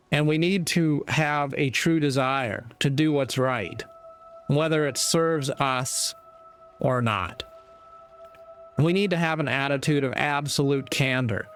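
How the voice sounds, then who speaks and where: A man giving a reflective, sermon-like monologue in a deliberate, measured way, pausing between short phrases. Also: on the high side, clean tone. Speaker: commentator giving a moral talk.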